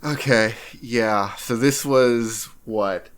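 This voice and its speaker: A man's voice: medium pitch, very slow, clean tone. A man co-hosting a podcast, chatting casually about video games in a blunt, opinionated way. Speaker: podcast co-host. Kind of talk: casual video game discussion.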